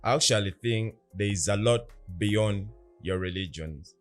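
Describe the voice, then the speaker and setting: A man's voice: fairly low pitch, unhurried, soft background music. A man in casual philosophical podcast talk, explaining earnestly and at length with rhetorical questions. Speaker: podcast co-host.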